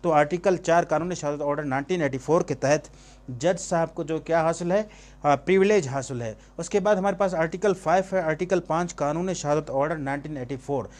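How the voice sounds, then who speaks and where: A man's voice: on the high side, fairly quick, darkish tone. A man with a Pakistani accent gives an informal exam-prep lecture as a teacher, posing questions and answering them himself and mixing in English terms. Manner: switches between Urdu and English terms. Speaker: law teacher.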